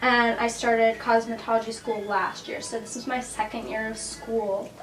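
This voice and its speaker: A woman's voice: a little high, level tone, moderate pace, a bit bright. A nineteen-year-old woman chatting casually as a vlogger about her beauty training, in an upbeat way. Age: late teens. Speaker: vlogger and cosmetology student. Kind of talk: casual chat. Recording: roomy echo, distant mic, faint music.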